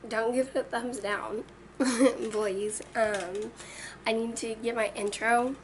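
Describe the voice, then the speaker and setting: A teenage girl with a raspy voice talks to her webcam, earnest and full of ums. Her delivery stays flat and even. She sits back from the mic, and steady noise hums underneath. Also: a little high, slow pace. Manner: earnest, chatty, many ums. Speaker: vlogger. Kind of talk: casual chat to camera. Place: small bedroom indoors. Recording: distant webcam audio with noise.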